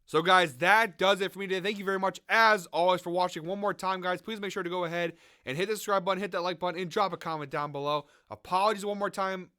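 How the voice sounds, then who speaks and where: A man hosting a sports betting show, chatting casually through his picks while sick, with a raspy, nasal voice. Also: high voice, fairly even intonation, fast, hardly any pauses, bright, forceful tone, dry recording, studio quality. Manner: conversational, hedging, frequent fillers. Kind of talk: casual picks commentary to camera.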